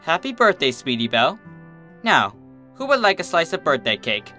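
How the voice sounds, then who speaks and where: A woman's voice: deep voice, very animated, normal speed, pushed and bright, faint music behind, heavily acted. A woman voicing a regal, motherly pony princess in a cartoon fan story, gracious, cooing and playfully amused. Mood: cheerful.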